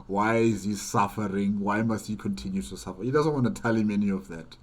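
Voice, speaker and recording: A man hosting a reaction video, commenting casually and haltingly, repeating phrases and asking rhetorical questions. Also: lowish voice.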